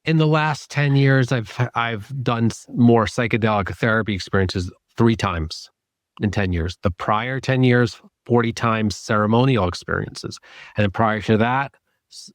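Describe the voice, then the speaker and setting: Low-voiced man in reflective interview talk. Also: moderate pace, dry recording, studio quality. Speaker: podcast guest, psychedelic therapy clinician.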